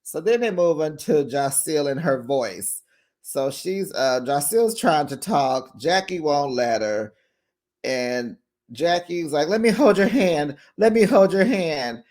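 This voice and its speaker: A man's voice: expressive, unhurried, darkish tone. Chatty man recapping a reality show. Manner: chatty, acts out quotes with raised pitch, laughs often. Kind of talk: casual recap commentary.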